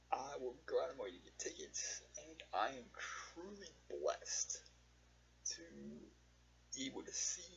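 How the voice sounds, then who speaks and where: A man talks off the cuff as a vlogger, in a creaky, gravelly voice that moves widely in pitch. He is slow and halting, breaking thoughts into short, earnest phrases with long pauses. The sound is dull and lo-fi. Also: a bit bright. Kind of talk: casual rambling personal vlog monologue. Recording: dull lo-fi audio with faint hum.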